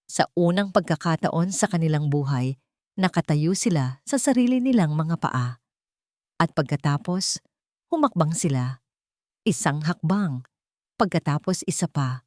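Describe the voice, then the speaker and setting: A woman narrating a scripted story in a theatrical, suspenseful way with deliberate pauses. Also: deep voice, animated, darkish tone, no room sound, clean studio sound. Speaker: audiobook narrator.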